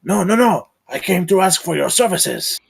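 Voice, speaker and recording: Man acting gravelly, husky wary adventurer. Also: high-pitched, big pitch swings, normal speed, exaggerated voice. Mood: urgent. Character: wary, formal male adventurer, urgent under threat. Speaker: table-read actor. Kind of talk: live screenplay table read.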